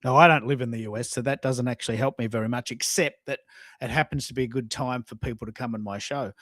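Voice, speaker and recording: A man's voice: medium pitch, steady tone, quick pace, a bit bright, dry recording. A man hosting a live coaching talk, repeating words for emphasis. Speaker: host and social media coach. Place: dry room, online live stream.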